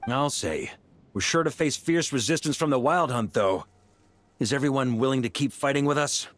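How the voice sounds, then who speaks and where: Man as a bright-voiced, lively game knight commander, easygoing but in charge. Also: medium pitch, moderate pace, no echo, close to an everyday voice. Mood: steady command. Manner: commanding, relaxed and friendly. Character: bold, easygoing middle-aged male knight commander. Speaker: Grandmaster of a knightly order. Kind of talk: video game dialogue.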